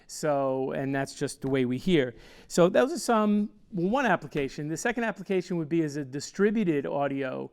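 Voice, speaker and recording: A man in his sixties, an audio designer, explaining a loudspeaker system in a technical, rambling way with fillers, on a lapel mic in a small studio. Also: on the high side, lively intonation, moderate pace, clear, resonant tone. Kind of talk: product explainer. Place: small studio with wooden backdrop.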